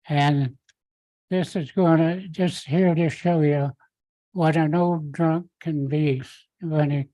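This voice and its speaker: Slow, rambling older man. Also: on the high side, dark tone, dry recording, rough recording. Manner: halting, rambling, many fillers. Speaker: citizen in public comment. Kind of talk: rambling public comment.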